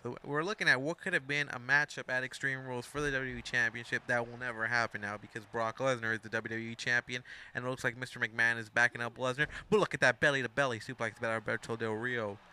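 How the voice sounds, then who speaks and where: A man's voice: mid-range voice, fairly even intonation, fast talker, few pauses, pushed and bright, smooth. A young man of Mexican background giving rambling, casual play-by-play commentary over a wrestling video game, full of asides and self-corrections.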